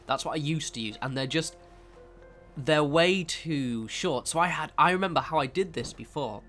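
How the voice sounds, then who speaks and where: A man's voice: fairly high pitch, moderate pace, fairly bright tone, soft background music. A man, nasal and stuffed up from a cold, talking through a game building tutorial in a chatty, rambling way that keeps correcting itself. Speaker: game video creator.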